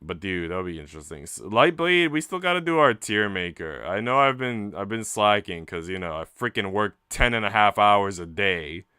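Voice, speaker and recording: Male game streamer, clean smooth tone, dry sound, moving between story reading and informal chat. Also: fairly low pitch, expressive, moderate pace, few pauses, sharp tone, no echo. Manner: informal, slangy when chatting. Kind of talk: reading a visual novel aloud with casual chat.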